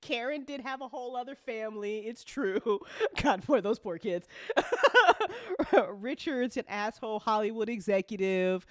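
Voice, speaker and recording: A woman with a fairly high, very lively voice chats casually about a show. She is animated and quick to laugh. The sound is close and dry. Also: no room sound.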